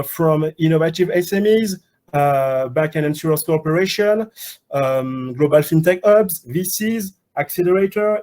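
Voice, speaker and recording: A man's voice: on the high side, a little mellow. A man presenting his organization in a webinar, hesitant and listing points one by one.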